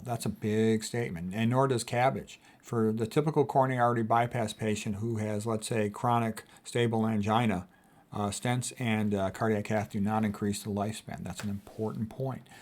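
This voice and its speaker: A man of sixty, a physician, reading quotes aloud and commenting on them in an emphatic, casual way, often repeating his key points. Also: on the low side. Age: 60s. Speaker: physician host.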